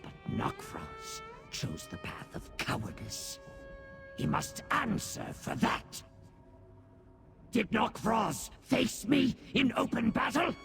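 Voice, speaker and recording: A fallen demon warlord reduced to a beastly servant in a video game. The voice is high for a male and heavily creaky, drawn out slowly with theatrical, grandiose bitterness. It snarls and halts. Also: wildly swooping pitch, heavily acted. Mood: bitter, indignant. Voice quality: gravelly, snarling. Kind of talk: video game character acting. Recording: loud game music behind. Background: music.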